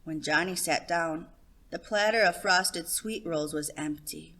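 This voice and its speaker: A woman's voice: lowish voice, measured pace, fairly bright tone. A woman storyteller giving a scripted narration of a children's story.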